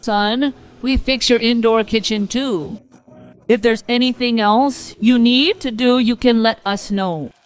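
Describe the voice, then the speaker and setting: A woman's voice: on the high side, steady tone, a little slow, clear, resonant tone, close to an everyday voice. A woman playing a conciliatory, apologetic mother-in-law in a family cartoon story.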